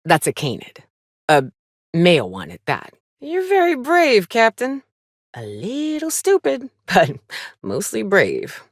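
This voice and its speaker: A woman voicing a gruff, tough but caring frontier monster hunter in a video game, with wry, folksy, blunt delivery. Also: medium pitch, wildly swooping pitch, takes it slowly, dry recording, clean studio sound, full character voice. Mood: wry, sardonic. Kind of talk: video game character dialogue.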